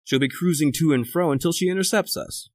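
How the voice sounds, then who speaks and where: An actor playing a cold, calculating, educated murderer in a radio horror drama, speaking formally and deliberately. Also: male voice, a little high, no room sound, natural voice. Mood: stern. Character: cold, calculating educated murderer doctor, leader of escaped convicts. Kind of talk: old-time radio horror acting, narrating and dialogue.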